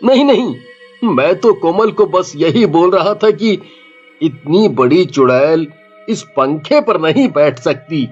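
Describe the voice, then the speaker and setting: A man voicing a young man in a cartoon, talking in a deliberate, persuasive way on a dull recording. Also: fairly high pitch, expressive, husky, faint music behind, exaggerated voice. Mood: coaxing. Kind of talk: cartoon dialogue acting.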